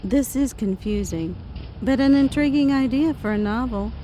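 A woman's voice: on the high side, moderate pace, dark tone, slight background noise, lightly acted, low-quality recording. A woman playing a thoughtful, encouraging literary lady from the past in an audio drama.

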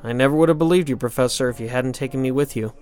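A man's voice: mid-range voice, racing, a little mellow, close to an everyday voice. An actor playing a curious, slightly awkward young male student in an audio drama. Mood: curious, surprised.

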